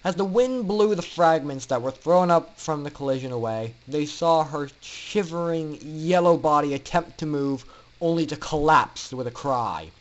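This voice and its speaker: A man with a slightly high voice narrates fiction dramatically. His delivery is theatrical and emphatic, leaning on pauses for suspense. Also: expressive, lo-fi sound. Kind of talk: dramatic fan-fiction story reading.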